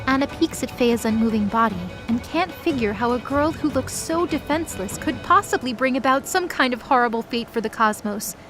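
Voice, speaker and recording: A woman's voice: on the high side, animated, fairly quick, few pauses, a bit bright, faint music behind. A woman reading scripted story narration for an audio drama.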